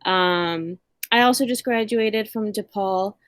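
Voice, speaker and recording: A woman's voice: medium pitch, slow, no echo, clean studio sound. A woman in her twenties introducing herself casually and a little self-effacingly. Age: 20s. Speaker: recent graduate.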